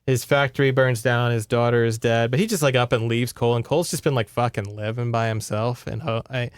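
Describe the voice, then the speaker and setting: A man's voice: medium pitch, fairly even intonation, a little fast, clean tone, no room sound, studio quality. A man with an American accent chatting casually and wryly as he recaps a book as a podcast co-host. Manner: wry, informal, sarcastic.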